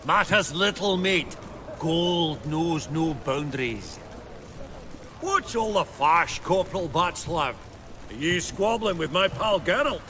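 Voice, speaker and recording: An actor playing a brash, shrewd fantasy banker with a Scottish accent in a video game, theatrical and chummy. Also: male voice, a little high, lively intonation, takes it slowly, background noise. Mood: jovial.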